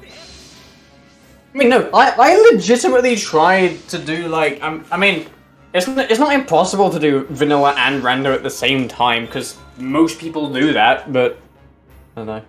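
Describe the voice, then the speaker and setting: Loud booming man commentating. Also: animated, normal speed, soft background music. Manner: loud, booming voice, rambling with many fillers. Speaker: speedrun commentator. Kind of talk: casual commentary chat. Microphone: desk mic at forearm's length. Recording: loud voice, clipping mic.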